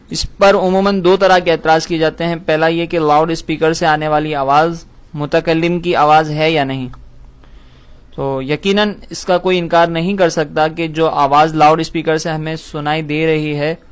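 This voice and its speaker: A man's voice: a little high, clean tone. A man speaking as an Islamic scholar, explaining a religious ruling in a formal, methodical way with scholarly diction. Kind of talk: religious lecture, explanatory question-and-answer.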